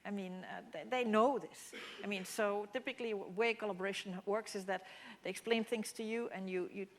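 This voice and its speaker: A woman's voice: mid-range voice, brisk. Dutch-speaking woman lecturing in a reverberant hall. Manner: explanatory, many restarts and fillers. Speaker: mathematics lecturer. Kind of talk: public lecture with slides.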